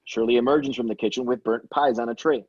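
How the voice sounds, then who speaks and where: A man's voice: medium pitch, fairly even intonation, fast, darkish tone, slightly rough, dry recording. A man reading stage directions as the narrator of a scripted table read. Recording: dull, slightly lo-fi sound.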